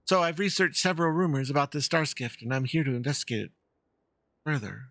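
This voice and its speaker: Man putting on a high, earnest young fox-girl. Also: animated, brisk, a bit bright, no room sound, clean studio sound. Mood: worried. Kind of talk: amateur dramatic reading of game dialogue.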